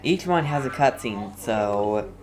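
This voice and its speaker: A man's voice: medium pitch, animated, slow pace. A man giving casual let's play commentary, reacting to the game in a chatty, offhand way. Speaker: game streamer.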